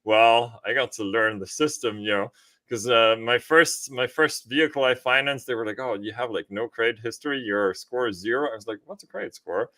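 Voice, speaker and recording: Bright-voiced man chatting. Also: medium pitch, fairly quick. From Austria. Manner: informal, often checks with "right?". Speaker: podcast host. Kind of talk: casual conversational explanation.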